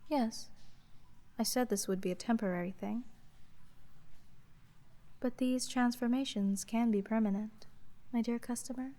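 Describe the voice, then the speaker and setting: Woman voicing a slow, aloof, mellow sea witch. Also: a little high, lightly acted. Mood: condescending, deadpan. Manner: monotone, deadpan, formal and condescending. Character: aloof, cunning female sea witch, coolly menacing dealmaker. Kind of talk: slow fantasy ASMR roleplay monologue.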